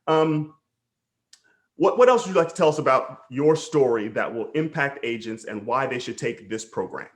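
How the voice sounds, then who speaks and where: A man's voice: medium pitch, lively intonation, moderate pace. A man hosting a casual interview as a coach, enthusiastic and affirming.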